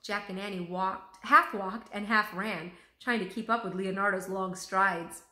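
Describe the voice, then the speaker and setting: A woman reading a children's storybook aloud with expressive narration. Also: mid-range voice, measured pace. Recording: roomy, echoing room sound.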